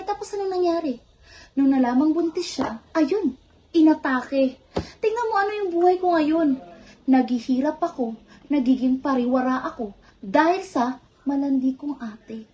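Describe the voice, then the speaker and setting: A woman's voice: high-pitched, mellow, muffled recording. A Filipino woman playing a rebellious student, the younger sister in a stage drama, with a theatrical, exasperated, sarcastic delivery. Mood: scornful, bitter. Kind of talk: amateur one-act stage play acting in Filipino. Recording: faint background noise.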